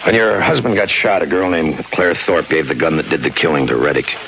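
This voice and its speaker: Tough american police detective, real low voice, deadpan and terse, old noisy 50s radio crime show. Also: male voice, brisk. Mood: accusing, cool. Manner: clipped, deadpan. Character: hardboiled male homicide police lieutenant, terse and unsentimental. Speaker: lead actor and narrator. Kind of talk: 1950s radio crime drama acting, noir narration. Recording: noisy 1950s radio broadcast recording.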